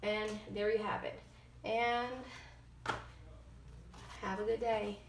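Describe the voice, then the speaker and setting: A woman giving an informal tarot and oracle card reading, partly read aloud, halting and often correcting herself as she goes. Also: medium pitch, flat delivery, background noise. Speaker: tarot card reader, host. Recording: roomy, echoing sound.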